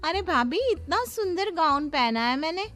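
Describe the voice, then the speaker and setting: Woman acting an eager high-pitched young girl. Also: lively intonation, normal speed, a bit bright. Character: excited young birthday girl, eager to show off. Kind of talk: Hindi cartoon moral story acting. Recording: music behind the voice.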